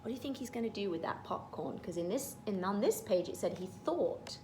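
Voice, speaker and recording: A woman's voice: medium pitch, animated, brisk, slight background noise. A woman teacher reading a storybook to young children, stopping to explain things in simple words. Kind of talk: storybook read-aloud with explanatory asides.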